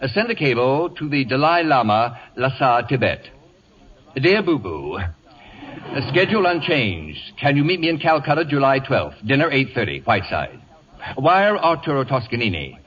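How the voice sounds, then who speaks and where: An actor playing a pompous, irascible celebrity wit with an imperious, biting, haughty delivery in radio comedy style. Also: male voice, medium pitch, very animated, moderate pace, close to an everyday voice. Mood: brisk dictating. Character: pompous, acid-tongued celebrity wit, irascible male invalid. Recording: dull old radio broadcast recording.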